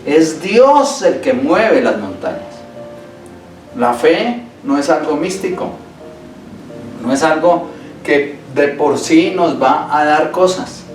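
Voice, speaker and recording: A man preaching slowly and deliberately from the pulpit, his voice sitting a little high for a man. He hammers home key phrases by repeating them. The sound rings through an echoey church hall, picked up from some distance, with a faint guitar playing underneath. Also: expressive. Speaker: pastor. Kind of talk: Sunday sermon. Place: church sanctuary.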